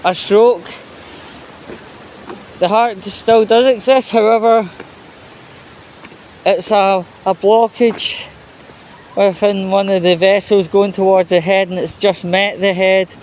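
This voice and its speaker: Male news vlogger, notably high voice, halting and opinionated, rambling delivery, some background noise. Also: steady tone, darkish tone, rough recording. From United Kingdom. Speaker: host of his own commentary vlog. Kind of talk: casual opinionated news commentary.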